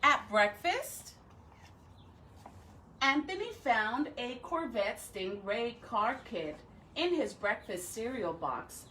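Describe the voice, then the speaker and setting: A woman talking and reading aloud to young pupils as a school educator, with expressive, drawn-out phrasing. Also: on the high side, slight background noise. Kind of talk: read-aloud for children with chatty intro.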